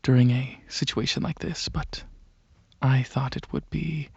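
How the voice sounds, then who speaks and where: A calm, courteous dragon alien researcher, played by an ASMR performer, speaking in a breathy half-whisper at a slow, halting pace. The manner is gentle and reassuring. The sound is very dry and close. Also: mid-range voice, no room sound, heavily acted. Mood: gentle, curious. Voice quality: soft, breathy, often whispery. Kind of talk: soft-spoken ASMR roleplay. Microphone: close microphone. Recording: close, dry, slightly muffled recording.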